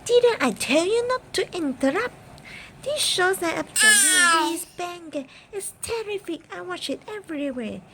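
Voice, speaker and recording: A woman's voice: very high voice, expressive, unhurried, very noisy, voice pushed up, exaggerated voice. A woman voicing a bratty, irritable nine-year-old boy in a children's storybook reading, snapping and exclaiming. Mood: annoyed, excited.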